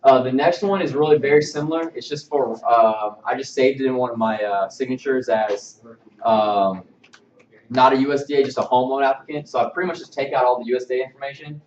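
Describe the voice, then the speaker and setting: A man giving an informal, halting work presentation on templates as a mortgage trainer, recorded from a distance indoors. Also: mid-range voice, darkish tone, slight background noise. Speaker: mortgage loan officer giving staff training. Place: indoor training room with projection screen. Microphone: far camera microphone. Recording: distant, roomy, slightly dull mic.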